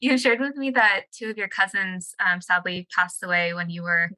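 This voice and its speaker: Brief: woman podcast host, gentle and curious, bright clear voice. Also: on the low side, fairly even intonation, moderate pace. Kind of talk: casual interview chat.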